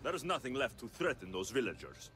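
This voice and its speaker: Greek-accented mercenary, lively intonation. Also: male voice, medium pitch, brisk, faint music behind. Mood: earnest. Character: rugged ancient Greek male mercenary, confident and wry.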